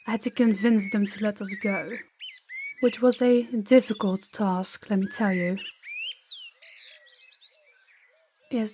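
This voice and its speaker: A woman playing a gentle, candid young princess in an intimate audio roleplay, soft-spoken and confiding with hesitant pauses. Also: mid-range voice, a little hiss or hum, natural voice. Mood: wry, resigned.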